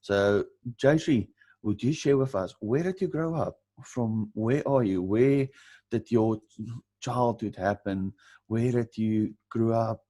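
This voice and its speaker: A man's voice: lowish voice, slow pace, dark tone, no echo. A man hosting a conversational interview in an affirming, appreciative manner. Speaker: interview show host.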